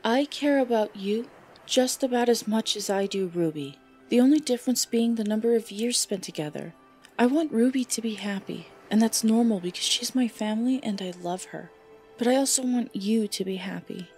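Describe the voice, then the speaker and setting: A woman playing an earnest, affectionate teenage girl in an audiobook, speaking sincerely in halting, casual phrases. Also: a little high, darkish tone, faint music behind, natural voice. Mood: earnest, tender. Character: earnest, affectionate teenage girl fighter, supportive best friend. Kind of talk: audiobook fan fiction dialogue.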